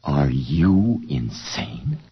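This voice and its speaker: A weary, earnest scientist in an old radio play. His voice is very deep and moves in wide swings of pitch, with grave, literary phrasing. The vintage recording is dull and a little hissy. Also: sing-song, drawn-out words, breathy edge, full character voice. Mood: shocked, incredulous. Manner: grave, literary first-person narration and earnest dialogue. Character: male scientist doctor narrating a post-atomic future, earnest, weary. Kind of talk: 1950s radio drama acting.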